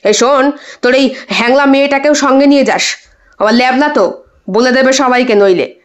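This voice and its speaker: Woman voicing a callous, high-pitched bossy thug. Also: moderate pace, dull audio, natural voice. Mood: contemptuous. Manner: curt, contemptuous orders.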